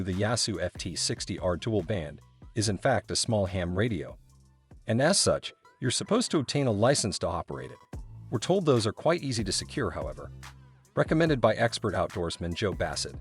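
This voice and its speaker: A man with a fairly low, lively voice delivers scripted product review narration. His delivery is polished and promotional, rising and falling noticeably, over background music. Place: voice-over recording.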